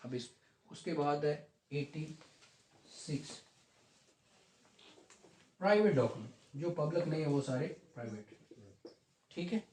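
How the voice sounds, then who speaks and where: A man teaching a law class, reading legal text slowly and then explaining it conversationally to students. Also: on the high side, animated, dark tone, slight background noise. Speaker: law lecturer. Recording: echoey classroom.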